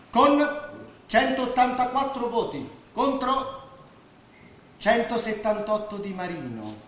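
An actor as high-voiced, officious lawyer. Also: male voice, a bit bright, exaggerated voice. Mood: ceremonious. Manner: ceremonious, calling for order. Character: officious, self-important lawyer presiding over an election. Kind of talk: theatrical stage acting. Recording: very echoey hall, faint noise.

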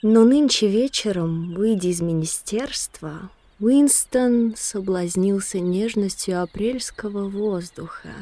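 Slow, mellow female narrator. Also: mid-range voice. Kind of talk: scripted dramatic narration.

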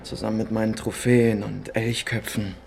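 Smug, spiteful Soviet officer. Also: male voice, lowish voice, little pitch movement, normal speed, vocal fry, darkish tone, a little hiss or hum. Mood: mock-polite, gloating. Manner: mock-polite, gloating, sarcastic. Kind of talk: German hardboiled radio crime drama acting.

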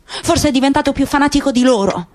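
A woman's voice: sits high, fast, darkish tone, slight background noise, lightly acted. A woman voicing a bitter, grieving middle-aged father who speaks insistently and rhetorically, for a radio drama.

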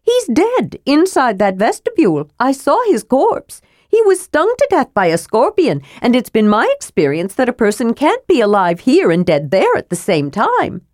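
A woman voicing an excitable, cheeky, childlike male kender adventurer who chatters eagerly in a fantasy audiobook. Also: fairly high pitch, normal speed, few pauses, no echo, studio quality, voice pushed up. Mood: scolding, matter-of-fact.

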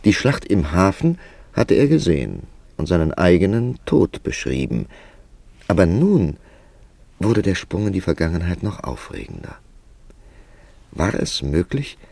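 An actor playing an inquisitive literary narrator in a German radio play, speaking in a measured storytelling manner. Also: male voice, deep voice, gravelly, dark tone, dry recording, exaggerated voice. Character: male literary narrator, inquisitive confidant of a young clerk.

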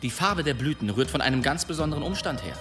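A man's voice: on the high side, expressive, fast, close to an everyday voice. A man acts out a swaggering demon hunter in a radio drama. He spins tall tales with florid pomp and a dramatically swinging melody, over prominent music. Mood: ominous, theatrical. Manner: theatrical, florid, pompous storytelling. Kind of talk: German radio play acting.

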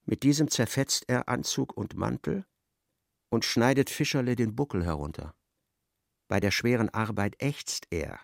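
A man's voice: medium pitch, a little slow, creaky, no echo, clean studio sound. A man acting as the detached narrator of a grim literary radio drama, telling it in a matter-of-fact way.

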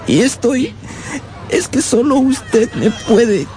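A man's voice: very high-pitched, very animated, slow, mellow, some chatter behind, slight background noise, exaggerated voice. An actor playing a pleading, tearful runaway orphan boy of ten in a radio drama.

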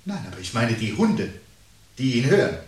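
An actor playing a brooding, articulate man who calmly awaits the world's end in a radio play, speaking in a measured, formal, sardonic way. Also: mid-range voice, natural voice. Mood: dryly sardonic. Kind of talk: 1970s German radio play acting. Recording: 1970s radio production with audible background noise.

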